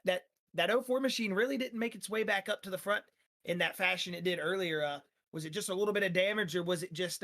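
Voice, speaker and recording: Brief: hoarse, high-pitched man, league broadcaster, chatty and full of fillers. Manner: energetic, rambling, drawn-out fillers. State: losing his voice. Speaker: sim racing league broadcaster. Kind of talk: post-race driver interviews.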